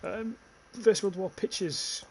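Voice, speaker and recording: A man's voice: high voice, slow, slight background noise. A young British man with a northern English accent, casually commentating his gameplay livestream, swearing offhand and reading chat comments. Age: young adult. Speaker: streamer.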